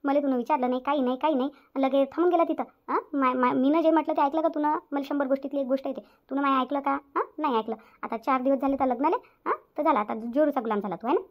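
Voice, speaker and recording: A woman's voice: high-pitched, fairly even intonation, quick pace, hardly any pauses. A woman voicing a stern, self-pitying middle-aged mother-in-law in a cartoon family drama, nagging with repeated questions in a Vidarbha Marathi accent. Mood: scolding, bitter. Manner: nagging, repetitive rhetorical questions. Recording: dull lo-fi audio.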